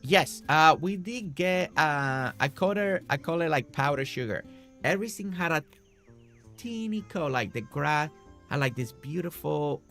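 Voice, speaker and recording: A man with a gravelly, slightly congested voice chats easily with his viewers while building in a game. Soft music plays faintly behind him. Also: fairly high pitch, measured pace. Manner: relaxed, chatty, talks to viewers by name. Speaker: game streamer. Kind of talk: casual live-stream chat while building.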